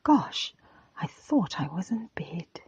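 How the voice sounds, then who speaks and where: A woman voicing an amiable, relaxed older schoolboy in a storybook, in a breathy whisper. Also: on the low side, slow pace, dry recording, highs cut off, exaggerated voice. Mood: drowsy. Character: easygoing, friendly older schoolboy, Julian. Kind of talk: whispered storybook character reading.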